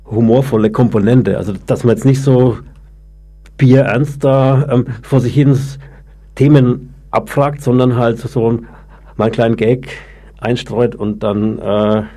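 A man who stutters, chatting casually in short, broken phrases with pauses. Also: mid-range voice, steady tone, mellow. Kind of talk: casual conversation.

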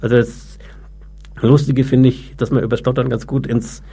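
Mellow man with a halting stutter. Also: medium pitch, flat delivery, husky. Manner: stutters, halting delivery broken into short phrases. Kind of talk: casual conversation.

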